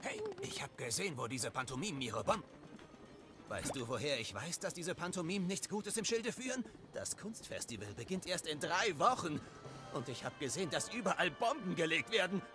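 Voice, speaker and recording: Video game townsman: excitable, urgent, higher-pitched, lively swings, music underneath. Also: fairly quick. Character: excitable male game character warning of bomb-planting mimes. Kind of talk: dubbed video game dialogue. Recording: game audio with music behind.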